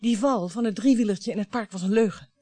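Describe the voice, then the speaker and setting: An actress in a Dutch radio play playing a bitter, grieving mother who confronts her husband in a dramatic, halting, taunting way. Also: medium pitch, mellow, no room sound, close to an everyday voice. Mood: bitter confessing.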